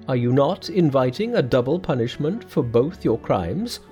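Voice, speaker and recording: A man's voice: mid-range voice, big pitch swings, moderate pace, dark tone, faint music behind, pitched above the performer's own voice, close to an everyday voice. A man voicing a solemn female Bodhisattva who rebukes and instructs. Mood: stern. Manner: solemn, reproving. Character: serene, stern female Bodhisattva, divine rebuker. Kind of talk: audiobook character voice.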